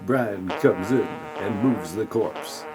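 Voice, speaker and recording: A man's voice: lowish voice, takes it slowly, noisy background. A man narrating a scripted comic audio play in a mock-dramatic, tongue-in-cheek way.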